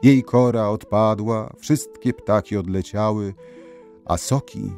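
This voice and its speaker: A man narrating scripted folk tales as a storyteller, expressively and with dramatic pauses. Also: on the low side, a little mellow, soft background music.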